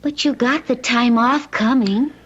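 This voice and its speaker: A woman's voice: a little high, unhurried, fairly bright tone, breathy edge. An actress playing a policeman's anxious, caring wife in an old-time radio drama, speaking in a coaxing, hesitant way. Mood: evasive, uneasy. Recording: dull, lo-fi radio recording.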